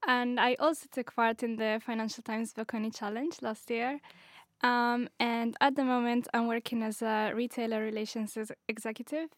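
Woman from Morocco, brightish voice, halting questioner, dry sound. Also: on the high side, flat delivery, dry recording. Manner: hesitant, many ums. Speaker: audience member asking a question. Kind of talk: asking a question.

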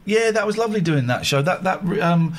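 A man co-hosting a casual late-night radio show, joking and rambling, now and then putting on a squeaky voice. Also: expressive, a little fast, a crowd in the background.

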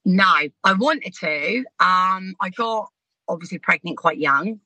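Brief: woman, 50s, podcast guest, laughs through her stories, bright tone. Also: fairly low pitch, slow pace, dry recording, low-quality recording. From Southampton, England. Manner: chatty, frank, laughs while telling stories. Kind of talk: casual storytelling chat.

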